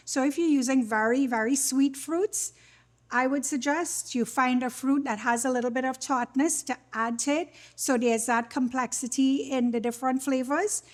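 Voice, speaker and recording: A woman with a Trinidadian accent and a fairly high voice talks through a cooking demonstration. She explains things step by step in an informal, conversational way, as the cook showing her own method. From Trinidad and Tobago. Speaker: cooking demonstrator, small catering business owner.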